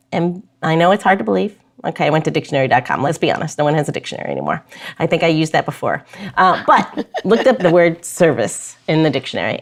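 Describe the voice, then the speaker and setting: Low-pitched woman hosting a podcast. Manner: informal, joking. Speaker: podcast host.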